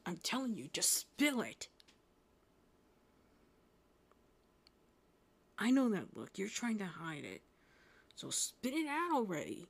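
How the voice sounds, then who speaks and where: A woman playing a gruff, prickly but secretly tender young male half-demon in a roleplay spoken to the listener, brusque with a low, gravelly voice. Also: huge pitch swings, unhurried, full character voice. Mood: irritated, pressing. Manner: gruff, brusque, softening into breathy murmurs. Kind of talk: roleplay audio addressed to the listener.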